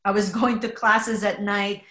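A woman's voice: mid-range voice, flat delivery, a bit bright, echoey room. Middle-aged Filipino-born woman, earnest guest. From Philippines. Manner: earnest, measured, many fillers. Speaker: podcast guest, entrepreneur. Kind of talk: casual interview conversation.

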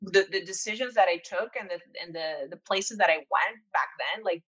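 A woman's voice: lowish voice, moderate pace, a bit bright. A young woman from Venezuela telling a personal story in casual conversation, candid and full of fillers.

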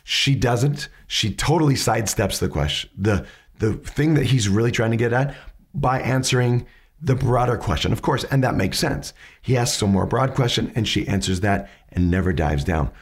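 Raspy-voiced man teaching a lesson. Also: mid-range voice, expressive, normal speed. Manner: methodical, restarts mid-sentence while explaining. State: raspy from hay fever. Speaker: podcast host teaching questioning. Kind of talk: casual explanatory lesson.

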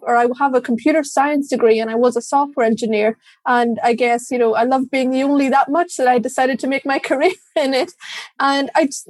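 An Irish woman speaks as an interview guest, with a voice a little higher than most. She answers thoughtfully and candidly, sometimes with a light laugh. The recording is dry and close. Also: a little mellow, dry recording. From Ireland. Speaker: guest, diversity and inclusion director.

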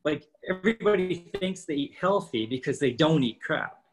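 A man's voice: fairly high pitch, expressive, normal speed, faint music behind. A man in his fifties co-hosting a casual podcast, explaining training and nutrition with lots of rhetorical questions. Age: 50s.

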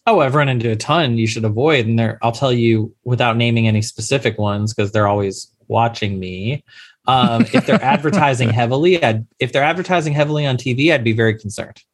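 A man's voice: mid-range voice, a little fast. A man, a lawyer giving emphatic, step-by-step advice as a guest in an interview. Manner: advisory, emphatic, explains step by step. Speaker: insurance claims lawyer. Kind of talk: podcast interview answer.

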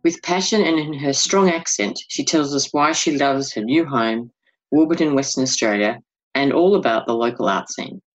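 A man announcing a podcast episode in a scripted introduction, reading smoothly and evenly. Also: medium pitch, normal speed. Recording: muffled lo-fi with faint music.

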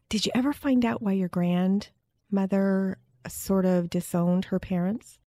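A woman hosting an interview in a casual, chatty and expressive way, with an informal storytelling style. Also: medium pitch, takes it slowly, no room sound. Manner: informal, expressive, acts out quoted dialogue. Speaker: interview host. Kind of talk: casual chat, animated anecdote telling.

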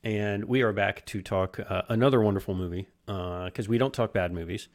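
A man in his thirties co-hosts a relaxed podcast chat, low-voiced and rambling. He trips over his own sentences and chuckles between thoughts. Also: brisk. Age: 30s. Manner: rambling, self-interrupting, chuckling. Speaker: podcast co-host. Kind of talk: casual chat.

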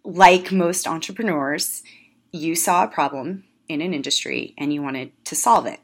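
A woman with a low, scratchy voice from being sick hosts a podcast. She talks in a loose, rambling way and laughs often between thoughts. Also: moderate pace, slightly rough. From Italian heritage. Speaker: podcast host. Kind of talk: casual podcast intro and interview.

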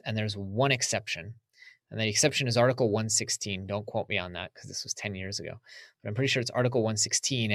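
Low-voiced man talking reflectively. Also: a bit bright, no room sound. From United States. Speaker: podcast guest. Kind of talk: casual interview talk.

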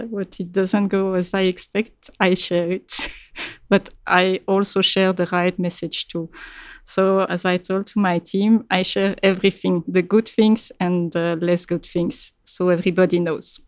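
A woman's voice: medium pitch, smooth, no room sound. A woman answering interview questions as a client project leader, in direct, emphatic, clipped phrases.

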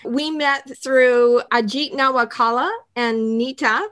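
A woman from Canada hosting an interview series, greeting and questioning her guest in a friendly, unhurried way. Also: high-pitched, fairly even intonation, a bit bright. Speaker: podcast interviewer, CEO. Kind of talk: interview conversation.

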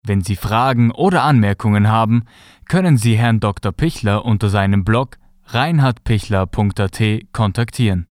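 A man announcing a podcast outro in an even, read-out delivery. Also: on the low side, normal speed, no echo, studio quality. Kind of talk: scripted outro.

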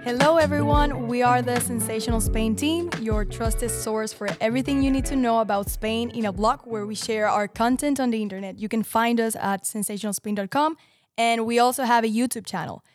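A woman's voice: fairly high pitch, brisk, music in the background. A woman in her twenties hosting an interview show, introducing guests and asking questions in a friendly, upbeat way. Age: 20s. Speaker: host.

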